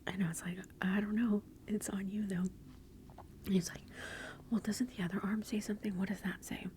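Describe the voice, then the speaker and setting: A woman's voice: medium pitch, little pitch movement, fairly quick. Woman whispering asmr, chatty and amused, bit of faint room hiss behind. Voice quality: soft whisper. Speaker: ASMR vlogger.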